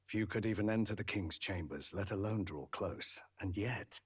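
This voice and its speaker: A grave, wary counselor at a fantasy game's court. His voice is low and heavily breathy, his phrasing formal. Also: normal speed. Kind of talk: dramatic video game acting.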